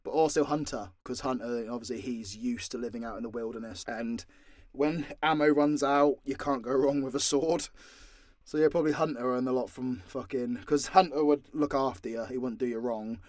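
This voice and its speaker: A man's voice: medium pitch, moderate pace, a little mellow, no echo, clean studio sound. A British man casually answering fan questions off the cuff, sweary and joking with mock exasperation. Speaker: audio roleplay creator and voice actor.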